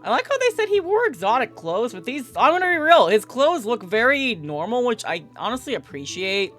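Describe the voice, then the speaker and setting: A woman's voice: on the high side, very animated, fairly quick, fairly bright tone, soft background music. A woman livestreamer reading a visual novel aloud and commenting casually.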